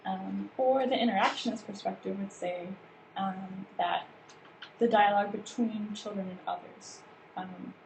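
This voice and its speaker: A woman's voice: medium pitch, fairly even intonation, measured pace, gravelly, darkish tone. A woman leading a staff training session, explaining ideas at length with frequent fillers and restarts. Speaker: workshop trainer. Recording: roomy with background noise.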